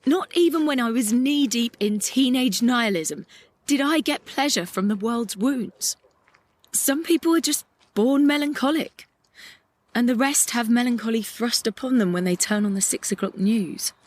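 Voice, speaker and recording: A woman's voice: fairly high pitch, lightly acted. A woman playing a melancholic, angry young idealist in a poetic audio drama monologue. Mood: bitter, weary. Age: young adult. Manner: lyrical, reflective, deliberate. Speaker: actor in an audio play.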